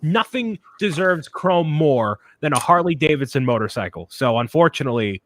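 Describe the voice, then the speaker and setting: A man's voice: a little high, animated, normal speed, fairly bright tone, no room sound. A man co-hosting a casual chat, enthusiastic and opinionated, often repeating words as he talks. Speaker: co-host. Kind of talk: casual chat while building.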